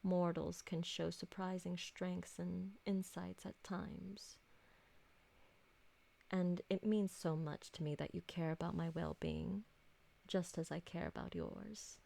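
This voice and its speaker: Woman acting a creaky-voiced, refined vampire noblewoman. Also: lowish voice, fairly even intonation, warm tone, full character voice. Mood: tender, grateful. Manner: formal, refined, deliberate diction. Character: elegant possessive female vampire noble, doting on her servant. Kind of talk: scripted roleplay ASMR audio drama.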